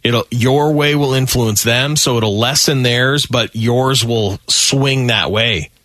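A man's voice: medium pitch, takes it slowly, a bit bright, no room sound. A man co-hosting a radio show, chatting casually and telling anecdotes.

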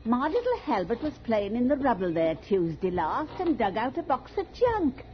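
An actress playing a chatty, obliging working-class London housewife with a Cockney accent, in 1940s radio drama style. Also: female voice, fairly high pitch, huge pitch swings, moderate pace. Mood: chatty, helpful. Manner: colloquial, exclamatory. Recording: muffled, noisy 1940s radio recording.

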